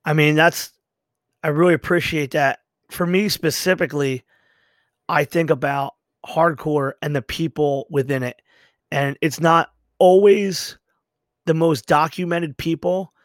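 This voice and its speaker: A man's voice: fairly high pitch, no room sound. Middle-aged male podcast host, close dry sound. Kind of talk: conversational interview.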